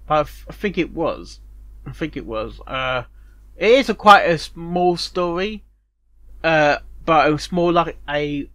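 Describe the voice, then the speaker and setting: A host gives off-the-cuff reaction commentary in a dry recording. The pitch moves around a lot, and the delivery rambles, doubling back on words and phrases. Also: fairly bright tone, dry recording. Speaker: reviewer and host of a fanfiction reaction show.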